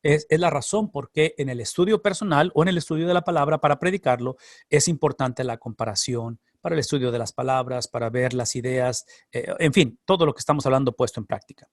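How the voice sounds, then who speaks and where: A man's voice: medium pitch, a little fast, dry recording, clean studio sound. A man who is a pastor and Bible teacher, answering class questions in an explanatory way, repeating phrases for emphasis. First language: Spanish.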